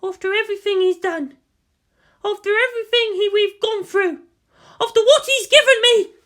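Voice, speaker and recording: A man's voice: extremely high pitch, moderate pace, a bit bright, pitched above the performer's own voice. A man voicing a furious, impassioned teenage girl soldier in a narrated story. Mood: furious, anguished. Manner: impassioned, seething.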